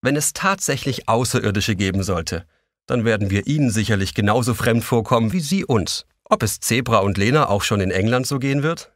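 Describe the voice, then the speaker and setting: A man's voice: medium pitch, lively intonation, fairly quick, dry recording, studio quality. A man narrating a scripted story for children as the narrator.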